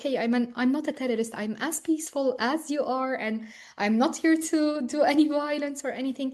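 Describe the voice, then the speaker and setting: A young woman from Ukraine speaking as a guest, earnest and personal, often filling pauses with "like". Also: fairly high pitch, a little mellow. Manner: earnest, frequent "like" fillers.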